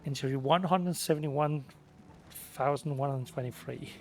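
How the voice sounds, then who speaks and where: A man with a slightly higher-pitched voice talks casually through a video game. He mutters understated, offhand remarks while game music plays behind him. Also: a little slow, darkish tone. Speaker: gaming video creator. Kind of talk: casual low-key Let's Play commentary.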